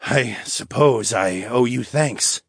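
A man voicing a formal, haughty lynx demi-human in a fantasy audiobook, with wordy, measured phrasing. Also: medium pitch, slightly rough, no echo, clean studio sound, voice pushed up. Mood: musing, grudging.

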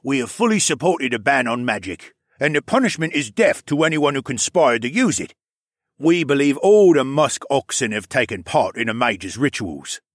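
A man acting as an earnest storybook courtier. He is formal, deferential and insistent, with animated pitch and a dry, close sound. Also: normal speed, no echo, lightly acted. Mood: earnest appeal. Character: earnest male courtier pleading formally before royalty. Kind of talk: audiobook character acting.

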